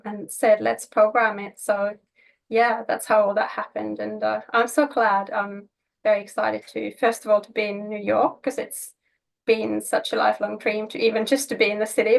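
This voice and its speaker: A woman's voice: medium pitch, creaky. A woman from Finland, a native Finnish speaker, talking casually as an interview guest. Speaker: interview guest, filmmaker and actor.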